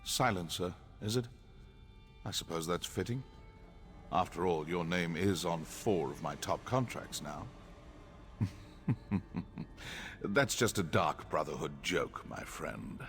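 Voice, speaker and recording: An actor playing a dry, menacing assassin with dark humor in a fantasy video game, speaking deadpan. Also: male voice, low voice, slow pace, pauses often, soft background music, exaggerated voice. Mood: dry menace.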